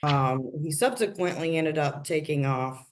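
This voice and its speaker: A middle-aged woman giving a formal, deliberately worded account to a judge over a video call. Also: deep voice, a little hiss or hum. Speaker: litigant bringing a motion in court. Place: large office room, on a video call.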